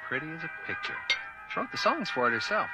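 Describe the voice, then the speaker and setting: A middle-aged American dad in a radio drama, firm and sceptical. His pitch swings widely as he talks in a folksy, dismissive way. Loud music runs underneath. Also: sing-song, quick pace, rough recording. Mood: wistful, defensive. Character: firm, sceptical father, wistful about old sweetheart. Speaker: actor in an audio drama. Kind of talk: dramatic radio-play dialogue.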